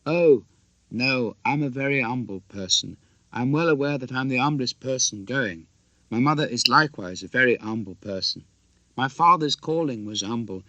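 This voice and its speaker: An oily young clerk, acted out by a male narrator in a storybook reading, fawning and self-abasing. The voice is bright and sharp, with a close, dry sound. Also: medium pitch, dry recording, rough recording. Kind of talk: audiobook character acting.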